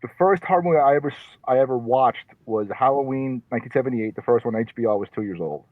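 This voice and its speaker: A man's voice: medium pitch, fast, no room sound. A man, a comedian guest chatting and joking, recorded in his car. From New York. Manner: joking, repeats words when starting. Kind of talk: casual chat. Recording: tinny phone-like sound.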